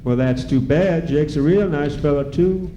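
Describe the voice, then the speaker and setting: A man's voice: a little high, unhurried, mellow, close to an everyday voice. A man playing a kindly, curious old farmer with a rural American accent in an old-time radio serial. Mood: amused, advising. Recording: roomy ballroom, faint noise.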